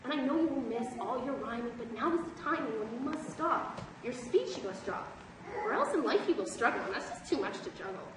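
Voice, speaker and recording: A woman's voice: a little high, lively intonation, a little fast, natural voice. A teenage girl playing an earnest, protective rhyming schoolgirl friend in an amateur stage play. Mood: earnest pleading. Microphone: distant camera microphone. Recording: echoey theatre stage, far off, noisy.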